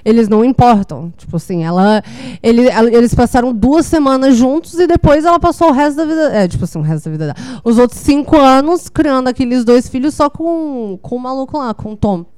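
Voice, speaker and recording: A woman's voice: sits high, lively intonation, brisk, a little mellow, smooth, no echo. A Brazilian woman chatting casually as a panelist in a film discussion, informal and slangy, rambling and often correcting herself. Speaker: podcast panelist.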